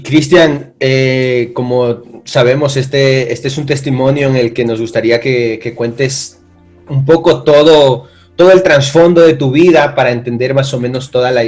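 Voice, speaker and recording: A man leads a church testimony interview, prompting his guest with friendly questions. His pitch is average, and he talks with occasional fillers. Faint music plays behind him. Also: a little mellow.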